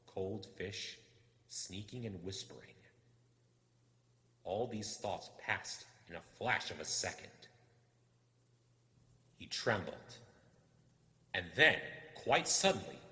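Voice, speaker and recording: Theatrical male storyteller, widely swinging pitch. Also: sharp tone. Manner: theatrical, suspenseful delivery. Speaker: audiobook reader. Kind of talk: dramatic storytelling narration. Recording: echoey, long reverb.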